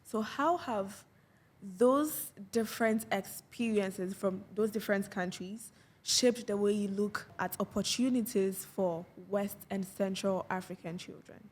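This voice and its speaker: A woman hosts an interview podcast and asks her questions slowly and deliberately. Her voice sits in a middle range. While her guest talks, she listens and adds soft "mm" sounds of agreement. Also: medium pitch. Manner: attentive, frequent soft backchannels. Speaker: podcast host. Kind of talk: interview hosting.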